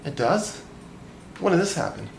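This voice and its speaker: An actor playing an exasperated, sarcastic young lawyer husband, arguing drily in theatrical comic dialogue. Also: male voice, a little high, big pitch swings, normal speed, creaky, recorded from a distance. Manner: dry, argumentative, sardonic. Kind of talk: theatrical comedic stage dialogue. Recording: echoey room with background noise.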